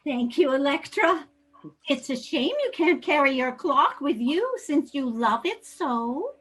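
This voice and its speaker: A woman's voice: high-pitched, expressive, unhurried, a bit bright. A woman playing a fussy, timid old lady in a comic readers theater piece, with a fluttery, genteel, easily upset manner. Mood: wistful, genteel. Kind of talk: comedic readers theater acting.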